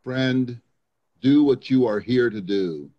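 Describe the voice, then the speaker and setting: A man's voice: mid-range voice, takes it slowly. A man reading scripture aloud as part of a church dramatic reading. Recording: dull lo-fi sound.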